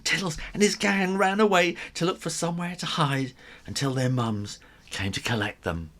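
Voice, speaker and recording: A man reading a children's story in an animated, theatrical style, with dramatic pauses. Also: on the high side.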